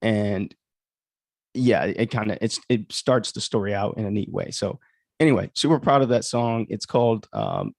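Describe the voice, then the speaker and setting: A man's voice: on the low side, very animated, moderate pace, gravelly, darkish tone, no room sound, clean studio sound. A man hosting a casual livestream, commenting on his own creative work in a conversational, reflective way, thinking aloud as he goes. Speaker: livestream host and author.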